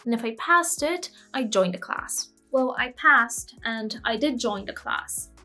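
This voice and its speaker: A woman's voice: fairly high pitch, lively intonation, unhurried, sharp tone, faint music behind. A woman in her twenties from Bulgaria, a native Bulgarian speaker, narrating a scripted vlog in a candid, self-deprecating way. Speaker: language-learning vlogger.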